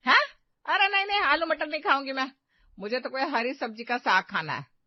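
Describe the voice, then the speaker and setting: A woman voicing a haughty, demanding middle-aged mother-in-law in a cartoon, sharp-tongued, gossipy and sarcastic. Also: high-pitched, animated, fairly quick, no echo, dull audio. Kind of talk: animated moral-story cartoon acting.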